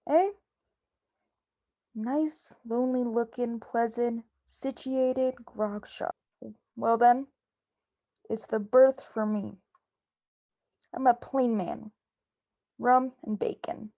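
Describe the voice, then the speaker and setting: A woman playing a gruff, bossy old male sea captain in an amateur radio drama, with salty sailor slang. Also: on the high side, warm tone, exaggerated voice. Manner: nautical slang, barked orders.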